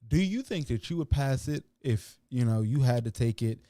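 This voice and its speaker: A man moderating a panel discussion in a mellow, relaxed tone. He rambles and restarts his words, and his loudness rises and falls. The sound is dry and close. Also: medium pitch, moderate pace, no echo. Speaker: host.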